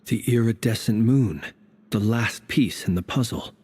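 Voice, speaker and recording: An actor voicing a grave, watchful adult man in a video game, speaking in a low, steady, serious way. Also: unhurried, breathy edge.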